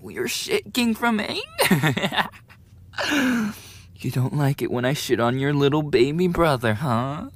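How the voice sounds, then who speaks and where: A man's voice: fairly high pitch, sing-song, slow. A man playing a cruel, mocking teenage delinquent bully in a dramatic roleplay audio, with a taunting, sneering, menacing delivery.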